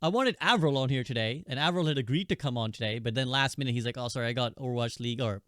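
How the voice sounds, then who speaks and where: A man's voice: mid-range voice, fast talker, a bit bright, no echo, clean studio sound. A thirty-year-old man hosting a podcast, moderating a casual chat among guests. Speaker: podcast host.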